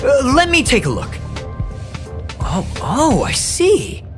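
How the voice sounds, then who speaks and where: A nervous, self-doubting space station engineer for a video game. His pitch jumps around in an animated way, and he sounds earnest and hesitant. Music plays clearly behind his voice. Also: slow pace, exaggerated voice. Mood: focused. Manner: hesitant, stammering, earnest and excitable. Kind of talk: expressive video game dialogue acting. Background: background music.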